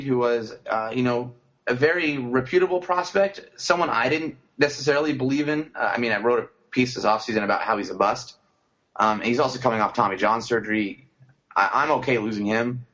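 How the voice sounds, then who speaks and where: A man co-hosts a casual sports podcast at an ordinary pitch, thinking aloud with fillers and restarts. His voice comes through slightly muffled, with a dull lo-fi quality. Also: brisk, a bit bright. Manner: conversational, frequent fillers and restarts. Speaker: podcast co-host.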